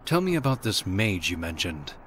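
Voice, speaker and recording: A man's voice: low voice, big pitch swings, moderate pace. A man playing a blunt fantasy game hero who asks questions in a direct, inquiring manner. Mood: questioning. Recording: music behind the voice.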